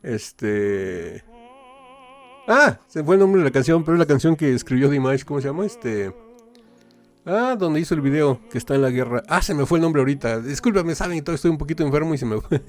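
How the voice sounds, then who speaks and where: A man's voice: on the high side, lively intonation, soft background music. A man hosting a reaction video, translating on the fly and hesitating often with fillers. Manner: hesitant, filling pauses with "eh" and "este" while translating. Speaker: reaction channel host. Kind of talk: casual live translation and commentary.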